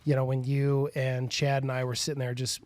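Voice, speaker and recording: A man with a raspy voice, a guest singer chatting casually on a podcast, relaxed and rambling with fillers. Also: medium pitch, fairly quick, no echo. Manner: laid-back, rambling with fillers.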